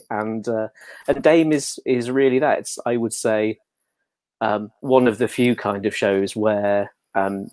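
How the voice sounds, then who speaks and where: English bloke chatting casually, lowish voice, dry close sound, pokes fun at himself. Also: male voice, a little mellow, no echo. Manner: self-deprecating, jokey. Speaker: interview guest, pantomime dame performer. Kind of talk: casual chat, anecdotal.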